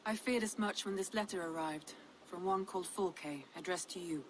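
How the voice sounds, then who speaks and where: A shrewd Viking counsellor, acted by a woman, with a slightly low voice. She is composed, earnest and direct in the style of a game drama, with faint ambient noise around her. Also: moderate pace, close to an everyday voice. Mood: composed, concerned. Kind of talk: dramatic video game acting.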